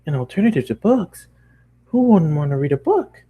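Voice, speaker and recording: An excitable young cartoon pony girl, performed by a man, pitched well above his natural voice. She is inquisitive and bouncy, lifting her questions eagerly as a storybook character. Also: normal speed, breathy edge, narrow, tinny audio. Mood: puzzled, curious. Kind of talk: fan fiction character reading.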